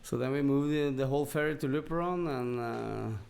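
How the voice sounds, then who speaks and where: A man's voice: medium pitch, fairly quick, creaky, darkish tone. A man from Norway with a Norwegian accent, telling anecdotes casually as a podcast guest and searching for his words in non-native English.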